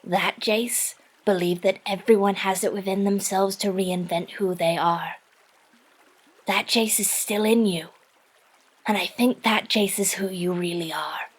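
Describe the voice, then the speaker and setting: A woman playing an earnest, fiercely supportive woman in an audio drama, speaking with tender, emphatic reassurance in a husky, breathy voice. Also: medium pitch, fairly even intonation, measured pace. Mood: firm, reassuring.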